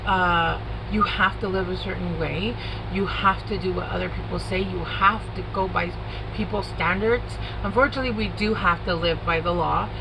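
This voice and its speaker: A woman originally from Los Angeles talks through a tarot spread in a relaxed, unscripted way. She curses casually and circles back to repeat her main points. A clear, constant air-conditioning hum sits under her voice. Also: lowish voice. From Los Angeles, USA. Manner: loose, swearing, repeats phrases for emphasis. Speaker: tarot card reader. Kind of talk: casual spoken tarot reading.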